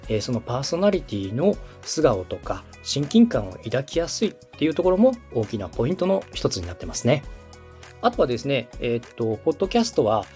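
Lively-pitched man explaining, music behind. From Osaka, Japan. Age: 30s. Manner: polite, step-by-step explaining, checks in with listener. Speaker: podcast host and radio director. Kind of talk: explanatory podcast talk to a co-host.

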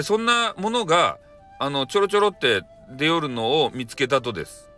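A man's voice: a little high, sharp tone. A man narrating a bedtime folktale in Hakata dialect, rambling with fillers and playful asides. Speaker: storyteller.

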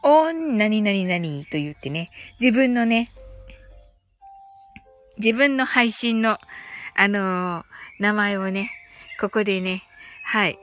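A woman's voice: mid-range voice, expressive, takes it slowly, pauses often, fairly bright tone, faint music behind. A woman hosting a casual, chatty livestream English lesson, often giggling as she talks. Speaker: livestream host teaching English phrases. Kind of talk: casual chatty livestream, reading listeners' comments.

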